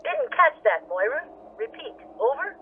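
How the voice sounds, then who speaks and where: Brisk captain on tinny radio. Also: female voice, high-pitched, very animated, slight background noise. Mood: brisk, concerned. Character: female captain on radio dispatch, brisk authority. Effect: two-way radio. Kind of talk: radio play acting. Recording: tinny narrow radio line.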